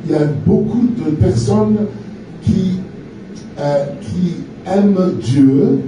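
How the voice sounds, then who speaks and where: Man from France, preacher, slightly high voice, short phrases for an interpreter, booming church echo, heard from a distance. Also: over music. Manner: pauses in short phrases for translation. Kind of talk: sermon with live interpreter. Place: large church hall. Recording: echoey church from far off.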